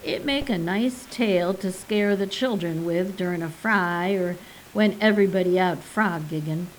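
A woman doing a soft-spoken Cajun guide. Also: on the low side, normal speed, slight background noise, close to an everyday voice, poor audio. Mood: placating. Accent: Cajun English. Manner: soft, placating. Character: local male swamp guide, easygoing and humoring.

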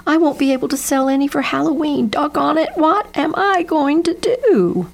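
A woman voicing a stubborn young boy scarecrow who cries and wails in a high voice. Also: lively intonation, normal speed, creaky. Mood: wailing. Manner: high, crying, wailing outbursts.

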